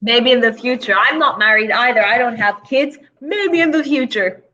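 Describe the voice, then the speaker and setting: A young woman tutoring English over a video call, patient and relaxed, with a lot of fillers. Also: fairly high pitch, moderate pace, highs cut off. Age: young adult. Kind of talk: casual conversation lesson.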